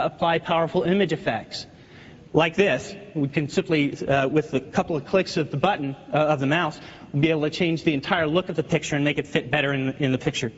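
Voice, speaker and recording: High-voiced guy demoing software onstage, keeps restarting words, dull echoey hall tape with faint crowd. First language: not French. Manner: eager, repeats words while demoing. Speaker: software presenter at a keynote. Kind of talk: live product demo presentation. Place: large conference hall.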